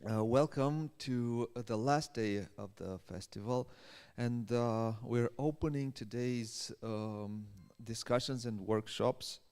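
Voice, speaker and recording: A man hosting a live workshop interview at a festival, speaking in a hesitant, halting way. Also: medium pitch, darkish tone, clean tone. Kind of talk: live event introduction and interview. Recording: echoey hall with long reverb.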